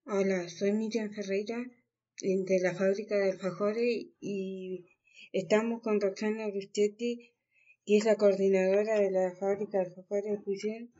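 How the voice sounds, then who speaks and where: Mellow Argentine woman interviewer, dull recording. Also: medium pitch, little pitch movement, a little slow. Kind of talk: simple prepared interview questions.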